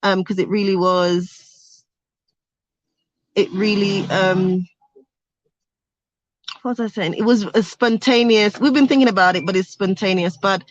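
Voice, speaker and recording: A woman's voice: medium pitch, steady tone, measured pace, long pauses, highs cut off. Young woman chatting live from a car. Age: young adult. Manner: chatty, reads viewer comments aloud. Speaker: vlogger. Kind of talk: casual livestream chat. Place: inside a car on a road trip.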